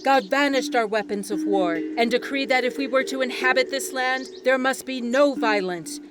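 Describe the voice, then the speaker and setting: A woman playing a devout island priestess in an audio drama, telling sacred history as solemn, reverent, measured oratory. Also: sits high, heavy background noise, lightly acted. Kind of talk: ceremonial storytelling in an audio drama.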